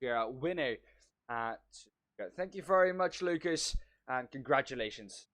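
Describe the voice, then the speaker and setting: A man's voice: medium pitch, animated, unhurried, a bit bright. A man co-commentating a sim race in an upbeat way, thanking drivers and wrapping up the broadcast. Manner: upbeat, easy laughs, wraps up the show.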